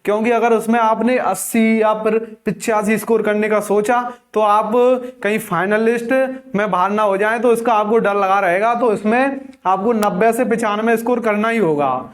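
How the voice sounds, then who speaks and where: A man's voice: high voice, steady tone, moderate pace, hardly any pauses. A man giving an instructive exam-guidance lesson, addressing viewers as friends and repeating key numbers. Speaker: exam coaching teacher. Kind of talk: explanatory exam guidance lecture.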